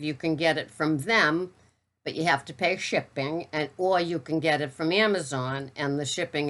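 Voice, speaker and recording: A chatty older woman lays on a thick Boston accent for fun, as the vlogger puts it on. Her voice sits low, and she rattles through her products in a playful show-and-tell. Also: a bit bright. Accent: Boston, put on for fun. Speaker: keto vlogger.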